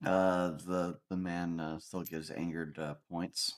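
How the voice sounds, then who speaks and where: Brief: male game master, lowish voice, halting uh-filled narration. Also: level tone. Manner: halting narration with frequent uhs.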